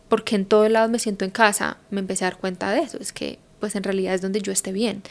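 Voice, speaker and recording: A Colombian woman in her thirties, a podcast guest talking reflectively and thinking aloud with lots of fillers. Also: medium pitch. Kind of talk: casual conversation.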